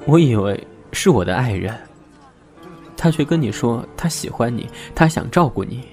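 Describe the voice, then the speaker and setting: A man's voice: medium pitch, animated, moderate pace, darkish tone, faint music behind, close to an everyday voice. An actor playing a hurt, sarcastic young man betrayed in love, in an audio drama. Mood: hurt, restrained.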